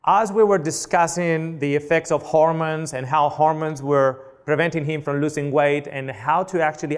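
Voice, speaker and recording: Spanish accent guy teaching a nutrition seminar, kinda high smooth voice, chatty, keeps quizzing the crowd. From Spain. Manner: chatty, asks the audience questions, joking asides. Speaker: clinical nutritionist giving a seminar. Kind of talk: live seminar talk to an audience.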